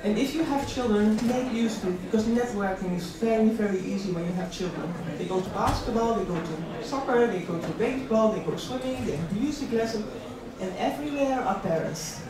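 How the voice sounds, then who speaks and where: A middle-aged woman from the Netherlands gives a personal career talk in a conversational way. She is picked up from a distance in a very echoey space, with clear crowd sound around her. She leans on repeated words to make her points. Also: mid-range voice, animated, fairly quick, few pauses. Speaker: invited speaker at a career event. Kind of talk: personal motivational talk with slides. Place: large room at an employment event. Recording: echoey hall, distant mic, audience noise.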